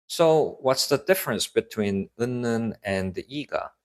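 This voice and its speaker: A young man whose first language is Korean, giving a clear, scripted online lesson in English with Korean example sentences. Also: mid-range voice, animated, fairly quick, darkish tone.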